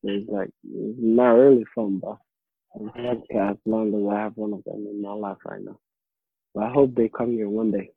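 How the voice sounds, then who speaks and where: A young man talks casually in an interview, often restarting and repeating words as he looks for them. His voice is husky and comes through a thin, phone-like line. The sound is dry and close. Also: lowish voice, little pitch movement, no echo. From Somalia. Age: late teens. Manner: halting, repeats words while searching for them. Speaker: interviewee, high school student.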